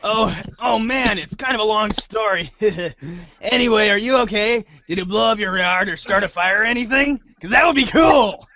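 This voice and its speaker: A man acting an earnest, excitable, rambling teenage boy hero in a storybook-style reader's theater. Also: high-pitched, moderate pace, dull audio. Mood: eager, sheepish.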